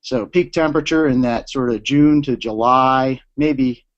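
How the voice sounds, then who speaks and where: Slightly scratchy male lecturer on lo-fi line. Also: medium pitch. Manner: measured, explanatory, many "uh" pauses. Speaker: university professor giving a webinar talk. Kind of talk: lecture presentation. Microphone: remote presentation line. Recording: dull lo-fi online audio.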